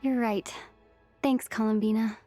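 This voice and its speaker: A woman's voice: a little high, steady tone, unhurried, dark tone, close to an everyday voice. A woman voicing an earnest, thoughtful young female traveler in a video game cutscene, with a sincere, pensive delivery. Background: background music.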